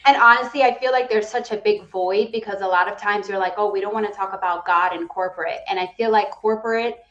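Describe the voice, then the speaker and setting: Earnest, animated woman from the Dominican Republic. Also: mid-range voice, dull audio. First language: Spanish. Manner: earnest, fast and animated, with frequent "right?" and "um". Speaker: guest, real estate executive. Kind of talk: casual interview conversation.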